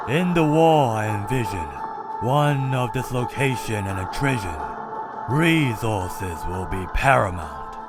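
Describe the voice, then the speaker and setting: A man voicing a cold, calculating, one-eyed male robot scientist in a comic dub, speaking in a measured, clinical, detached way. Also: medium pitch. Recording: dramatic music underneath.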